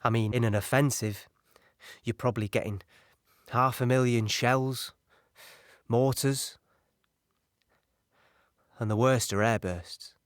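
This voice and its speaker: A man playing a weary young infantryman, recalling battle in a halting, conversational audio-play monologue. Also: medium pitch, fairly even intonation, no echo, studio quality, lightly acted. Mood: grim, reflective.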